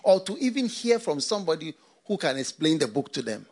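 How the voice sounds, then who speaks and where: An older pastor from Ghana delivers a sermon, his fairly high voice swinging widely up and down. He leans on repeated phrases and asks the congregation questions. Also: big pitch swings, fairly quick. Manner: preaching with repetition and rhetorical questions.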